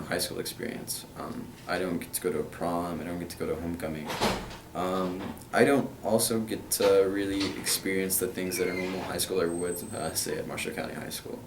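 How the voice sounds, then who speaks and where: Low-voiced teen guy from kentucky, earnest, umms a lot, roomy echo, faint hiss. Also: moderate pace, distant mic. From Kentucky, USA. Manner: earnest, many hesitant fillers. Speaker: interviewee, international school student. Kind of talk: answering interview questions.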